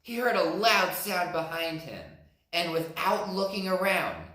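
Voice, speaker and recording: A man, a teacher, reading a picture book aloud with slow, expressive narration. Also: sits high. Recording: echoey room.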